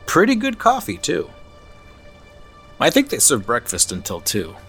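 An affable traveler in an audio drama, who seems to know more than he says. His mid-pitched voice rises and dips widely. The delivery is conversational, with a slight teasing edge. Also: huge pitch swings, unhurried, fairly bright tone, slightly rough, faint music behind, exaggerated voice. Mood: casual, teasing. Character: affable, knowing male RV traveler, subtly probing. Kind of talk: naturalistic audio drama acting.

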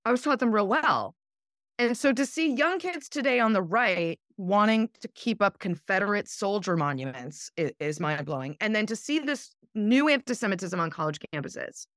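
A woman's voice: medium pitch, expressive, normal speed, a bit bright, dry recording, studio quality. A woman speaking as a guest in a casual political conversation. She is emphatic and indignant and swears for emphasis. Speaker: guest commentator.

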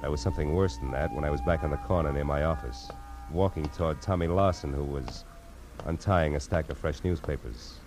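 A man's voice: a little fast, over music. A man acting a hard-boiled, world-weary private detective in a 1940s radio drama, with clipped, commanding delivery. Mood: weary, subdued. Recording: noisy vintage radio recording, vehicle rumble.

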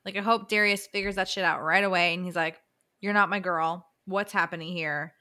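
A woman's voice: on the low side, steady tone, fairly quick, pushed and bright. A woman co-hosting a podcast, chatting casually about books in a blunt, sweary way. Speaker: podcast co-host.

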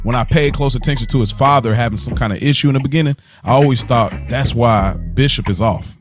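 A man's voice: mid-range voice, quick pace, faint music behind. A man co-hosting a podcast, talking casually in slang and telling things with quoted asides. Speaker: podcast co-host. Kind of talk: casual conversation.

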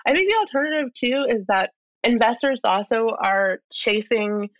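A woman's voice: on the high side, expressive, normal speed, no room sound, dull audio. A woman speaking as an interview guest, explaining her points conversationally and thoughtfully, with emphasis as she lists them. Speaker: interview guest, expert commentator.

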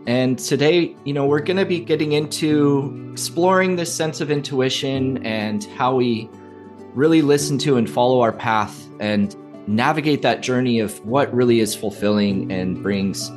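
A middle-aged man hosting a podcast, talking in a gentle, unhurried, friendly way. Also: mid-range voice. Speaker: podcast host. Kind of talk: interview hosting.

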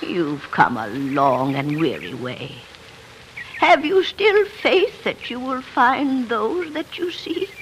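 A woman's voice: a little high, big pitch swings, slow, a little hiss or hum. An actress playing a kindly, mysterious old woman of the forest in a 1940s radio drama, with a storybook delivery.

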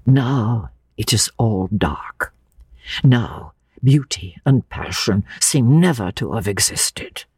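A woman voicing an earnest old man in a novel, hot-tempered yet tender, speaking with slow, emphatic passion. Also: extremely low pitch, animated, a little mellow, slightly rough, dry recording, studio quality, full character voice. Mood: impassioned pleading.

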